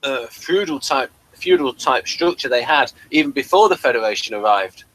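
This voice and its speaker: A man's voice: medium pitch, animated, moderate pace, a bit bright, low-quality recording. A man chatting casually as a co-host, often repeating words as he stammers along. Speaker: podcast co-host reviewing a TV series. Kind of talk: casual chat.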